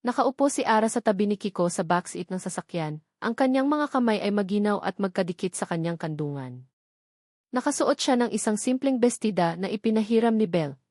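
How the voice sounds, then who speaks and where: A woman reading a scripted dramatic story in a measured, earnest way. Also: mid-range voice, a little mellow, no echo, studio quality. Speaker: story narrator.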